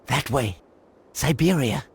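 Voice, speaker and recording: An actor playing a frail, simple-minded old inventor who talks in short childlike sentences, in a video game. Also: male voice, medium pitch, lively intonation, slow, heavily acted. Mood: quietly determined. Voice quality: husky, breathy.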